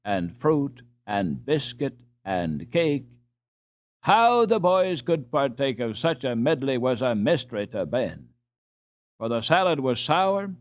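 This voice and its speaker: A man narrating a storybook as an audiobook reader, in a measured, expressive way. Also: mid-range voice.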